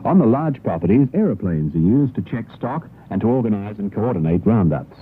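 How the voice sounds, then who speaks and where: A man's voice: lowish voice, very animated, fairly quick. An Australian man presenting a radio programme, reading a scripted narration about folk songs. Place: radio broadcast. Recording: narrowband, noisy 1960s shortwave radio broadcast.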